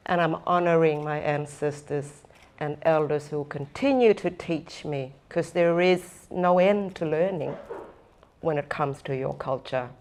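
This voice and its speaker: A woman giving a slow, earnest, deliberate talk at a conference session, stressing her points by repeating them. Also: low voice, darkish tone. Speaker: First Nations speaker and former government advisor.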